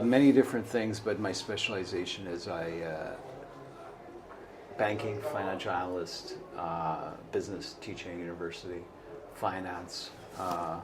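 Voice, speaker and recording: A middle-aged man born in Portugal and raised in Canada, a bar owner chatting in an interview, rambling and halting, often trailing off quietly. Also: deep voice. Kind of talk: casual interview chat. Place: small bar. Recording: noisy bar ambience behind.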